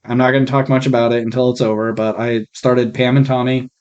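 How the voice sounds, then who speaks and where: Man, podcast host, mid-pitched voice, casual chat, frank and joking, slightly rough mic sound. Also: flat delivery, fast, darkish tone, dull audio.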